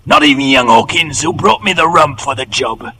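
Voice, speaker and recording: A man's voice: on the high side, moderate pace, sharp tone, slightly rough. A man playing a cunning, commanding old cook in a radio drama. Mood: menacing command. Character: cunning, commanding old pirate ship's cook, mutiny leader. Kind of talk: 1940s-50s American radio drama acting. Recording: noisy old radio broadcast recording.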